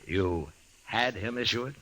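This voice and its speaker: An actor playing a steady, laconic frontier marshal with dry, skeptical understatement, in the style of an old-time radio western. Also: male voice, on the low side, unhurried, rough recording. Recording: hissy old radio recording.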